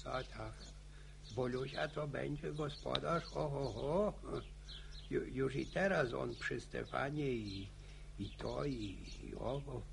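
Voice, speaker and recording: Old grandpa, very gravelly, slow, stammering, boastful, radio play. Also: male voice, medium pitch, a little hiss or hum. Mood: rambling, musing. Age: older. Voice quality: creaky, gravelly. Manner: halting, stammering, repeats words. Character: talkative, boastful old village grandfather in rural Poland. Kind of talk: radio drama acting.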